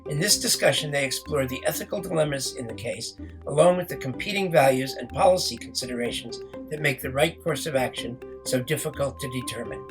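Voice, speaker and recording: A man's voice: medium pitch, brisk, over music, poor audio. A man co-hosting a podcast, reading a scripted introduction. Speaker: podcast co-host.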